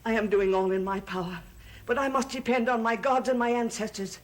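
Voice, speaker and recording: A woman's voice: a little high, brisk, natural voice. Woman playing a solemn, formal occult servant. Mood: solemn, fearful. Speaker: soap opera actress. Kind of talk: gothic soap opera acting. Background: faint music.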